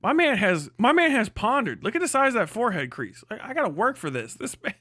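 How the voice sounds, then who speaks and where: A man's voice: sits high, sing-song, quick pace, bright, forceful tone, dry recording. A man livestreaming mocking, profanity-heavy reaction commentary as host, in a crude, exclamatory riffing manner. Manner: often breaks into laughter at own riffs.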